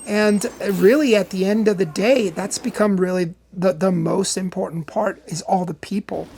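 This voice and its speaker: A man who organizes the event, speaking in a thoughtful, measured way in a documentary interview. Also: sits high, steady tone, gravelly, darkish tone, a little hiss or hum.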